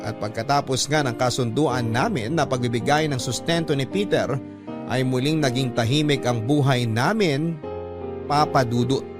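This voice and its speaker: A man's voice: medium pitch, moderate pace, lightly acted. A man narrating a sentimental radio love story as a devoted, protective younger sibling, earnest and reflective. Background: music under the voice.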